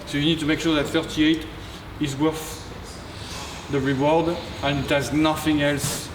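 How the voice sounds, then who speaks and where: A man's voice: a little high, level tone, a little slow. A man teaching a trading setup, explaining in an emphatic, punchy way. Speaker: trading mentor. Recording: echoey room, far from mic, noisy.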